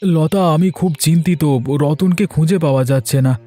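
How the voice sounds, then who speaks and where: A man's voice: on the high side, moderate pace, mellow, lightly acted. A man voicing an anxious, guilt-ridden village man in a fairy tale cartoon. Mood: worried, regretful. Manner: agitated, stammering when alarmed. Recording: voice over background music.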